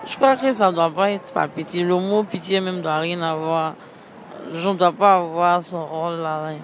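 Vox pop, woman, lowish voice, hesitant and halting, handheld mic, clear traffic noise. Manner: hesitant, halting, short phrases. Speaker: street interviewee. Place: city street at night beside traffic. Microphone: handheld mic held by interviewer. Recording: street traffic noise outdoors.

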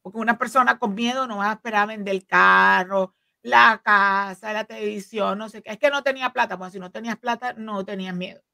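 Woman legal counsel, earnest, halting fillers, dry close sound, desk mic on video call. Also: mid-range voice, steady tone, creaky, pushed and bright, dry recording. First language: Spanish. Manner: earnest, many fillers and restarts. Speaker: immigration legal counsel, guest. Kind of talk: explanatory interview talk. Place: home office, indoors. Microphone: desk microphone on video call.

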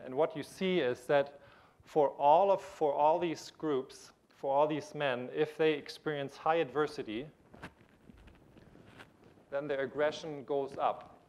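A man in his thirties giving a conference keynote lecture in a large auditorium on a lapel mic, explaining with frequent restarts and fillers. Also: medium pitch. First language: German. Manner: gestures while pacing the stage. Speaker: keynote speaker. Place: large auditorium stage with audience.

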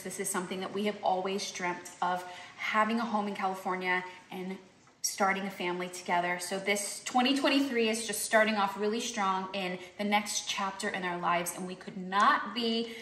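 A woman's voice: mid-range voice, a bit bright, echoey room, slight background noise. A woman in her thirties hosting a casual home tour vlog, gushing and chatty with lots of fillers. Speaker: vlog host. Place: outdoor garden, then indoor home.

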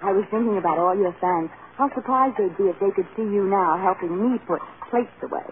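A woman's voice: medium pitch, brisk, noisy background, lightly acted. An actress playing an eager, candid young woman who hopes to act, in an old-time radio drama. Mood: shy, candid. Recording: tinny vintage radio broadcast.

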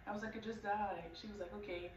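A woman's voice: mid-range voice, steady tone, quick pace, dull audio, noisy background. A young woman telling a painful personal story on her vlog, emotional and rambling, with many likes. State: congested, stuffy. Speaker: vlogger. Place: bedroom at home, indoors. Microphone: camera a few feet away. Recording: echoey room, distant mic, music behind.

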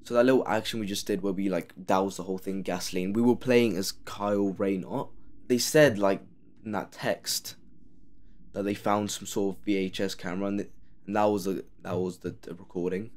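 A man's voice: sits low, steady tone, normal speed, a little mellow. A man commenting sarcastically while he plays a horror game. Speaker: gamer playing a horror game. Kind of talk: let's play commentary.

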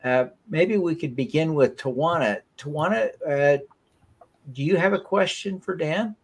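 A man in his fifties hosting a live pitch show on a video call and handing over to questioners. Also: unhurried, husky.

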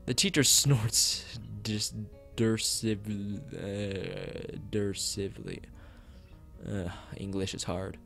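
A man's voice: fairly low pitch, steady tone, drawn-out words, a bit bright. A man streaming a visual novel, reading it aloud and often tripping over words and correcting himself. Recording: music behind the voice.

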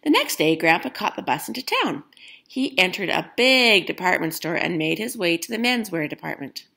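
A woman reading a children's picture book aloud in an expressive storytelling style. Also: fairly low pitch, normal speed, creaky.

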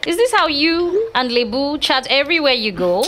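An actress with a Nigerian accent playing a brash, fun-loving young bride-to-be in casual audio drama banter. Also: female voice, high-pitched, sing-song, moderate pace, background noise. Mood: playful, brash.

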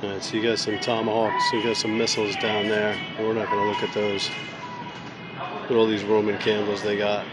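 Excited man filming in a noisy store. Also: lowish voice, brisk. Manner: enthusiastic, reads out prices and names. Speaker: vlogger, father. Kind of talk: casual shopping commentary. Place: large indoor fireworks store. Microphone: handheld camera. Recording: store music playing loudly behind.

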